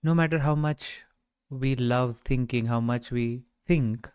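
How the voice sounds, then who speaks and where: A man guiding a meditation in a gentle, measured way, speaking off the cuff with long pauses. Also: medium pitch, clean tone, dry recording, studio quality. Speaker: meditation guide. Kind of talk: guided meditation, unscripted talk.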